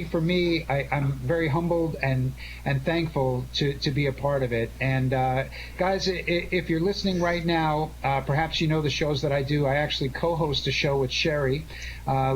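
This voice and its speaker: Earnest middle aged radio host guy, slightly high voice, stumbles a bit, thankful, noisy net call. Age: 40s or older. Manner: halting, earnest, effusively thankful. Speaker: show host and director. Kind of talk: casual live radio chat. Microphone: Skype-type connection. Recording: internet call with background noise.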